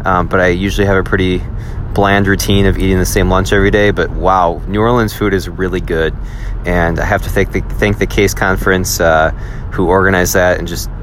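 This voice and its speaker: A man with a Wisconsin accent, a teacher telling a relaxed, rambling personal story. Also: low voice, brisk, slight background noise. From Wisconsin, USA. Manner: relaxed, rambling, self-deprecating.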